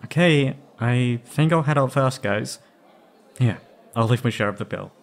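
Male actor as anxious young man, slow pace, halting delivery, medium pitch, wistful, conflicted, roleplay audio drama. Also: creaky. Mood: defensive, uneasy. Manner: hesitant, halting, sighing.

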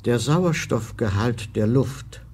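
An actor playing a cautious, factual environment minister in a radio play, speaking in a measured, formal way. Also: male voice, mid-range voice, animated, darkish tone, a little hiss or hum. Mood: grave, careful.

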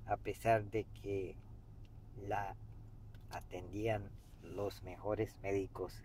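A man in his fifties reading a book aloud meditatively in a halting way, pausing mid-sentence, recorded inside a car. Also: deep voice, low-quality recording. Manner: halting, pauses mid-sentence, clears throat. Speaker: amateur reader of a book. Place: inside a parked car by a street. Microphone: camera an arm's length or so away. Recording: steady background noise inside a car.

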